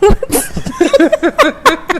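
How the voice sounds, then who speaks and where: Young Jamaican woman, podcast co-host, high bright voice, blunt and chatty. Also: wildly swooping pitch, drawn-out words. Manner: chatty, blunt, slips into patois. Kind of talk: casual chat.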